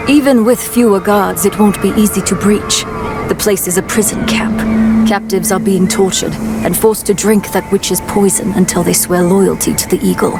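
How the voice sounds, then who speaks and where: A woman plays a hard-bitten raider leader in a dramatic video game. Her voice is gravelly and dry, grudging and curt, with music clearly behind it. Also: medium pitch, fairly even intonation, moderate pace, natural voice. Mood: businesslike. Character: tough, curt woman commanding island raiders.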